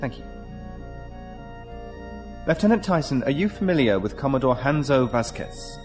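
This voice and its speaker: An actor playing a formal, courteous, shrewd commanding official who speaks deliberately in a sci-fi audio drama. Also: male voice, mid-range voice, big pitch swings, a little mellow, faint music behind, lightly acted. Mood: grave, courteous.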